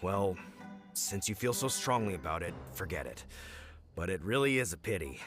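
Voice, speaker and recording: A man's voice: sits low, lively intonation, normal speed. An actor playing an earnest, persuasive head hunter in a video game, speaking in a formal salesman's manner. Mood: resigned. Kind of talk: English video game dialogue. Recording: studio voice over game music.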